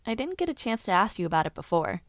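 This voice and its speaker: A lively young noblewoman who hopes to be a knight, acted by a woman for a fantasy audio roleplay. She talks in a mid-pitched voice, teasing and gossipy, with little hums and hesitations. The sound is dry and intimate. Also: fast, no room sound, lightly acted. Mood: curious, teasing.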